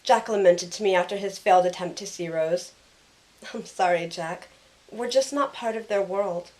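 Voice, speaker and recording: A woman's voice: medium pitch, normal speed, darkish tone, natural voice, poor audio. A woman playing a sardonic, loyal young heroine who narrates her own story in an audio drama. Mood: wry, exasperated.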